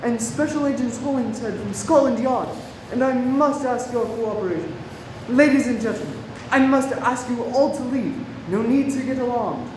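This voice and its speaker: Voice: mid-range voice, normal speed, a little mellow, lightly acted. An actor playing an earnest, anxious Scotland Yard agent in a radio play. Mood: alarmed, pleading. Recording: very echoey room, clearly noisy.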